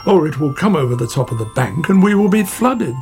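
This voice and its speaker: Chatty woodland otter, played by a male narrator: slightly fretful, mid-pitched with vocal fry, music underneath. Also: sing-song, brisk, a little mellow. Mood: worried. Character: chatty, mildly worried otter, friendly woodland animal. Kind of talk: children's storybook character.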